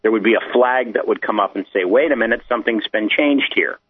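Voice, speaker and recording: Male guest on a narrow, slightly husky phone line, conversational explainer working through technical points step by step. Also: on the low side, brisk. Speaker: guest explaining digital documents. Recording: muffled, tinny phone line.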